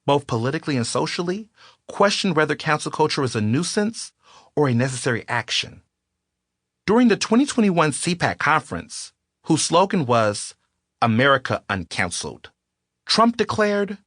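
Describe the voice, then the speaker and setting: A man narrates his own nonfiction audiobook, his pitch rising and falling as he leans into his points. The studio sound is dry and close, with no room echo. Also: big pitch swings, normal speed, fairly bright tone.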